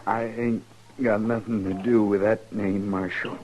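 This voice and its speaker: Man playing a slow, halting, dying western outlaw. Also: fairly low pitch, flat delivery, darkish tone, lightly acted. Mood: confused, defiant. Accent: American. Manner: halting, labored, rustic drawl. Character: dying wounded male outlaw, bitter and defiant. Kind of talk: old-time radio western acting. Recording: dull, noisy old radio recording.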